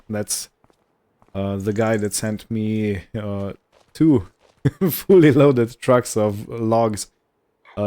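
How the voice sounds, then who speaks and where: A man giving casual roleplay gameplay commentary in a hesitant, rambling, unhurried manner. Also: lowish voice, darkish tone. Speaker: gaming video host.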